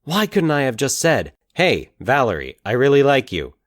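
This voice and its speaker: A man performs an earnest young man in an audio drama, wistful and a little halting. His delivery swings widely in pitch, and the sound is dry and close. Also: wildly swooping pitch, dry recording. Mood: wistful, musing. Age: young adult. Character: Mike, wistful, hesitant young man back home.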